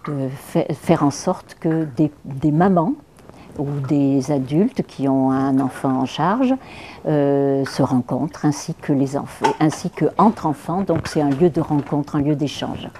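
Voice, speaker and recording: A woman's voice: deep voice, a little slow, dark tone, a little hiss or hum. A woman giving explanatory answers in an interview as a worker at a children's service.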